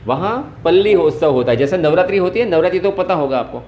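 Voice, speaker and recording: Echoey Gujarati-accented man, fairly high voice. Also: expressive, fast, darkish tone. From Gujarat, India. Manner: explains step by step with everyday examples. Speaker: host, activist caller. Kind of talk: explanatory phone conversation. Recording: roomy, echoey call recording.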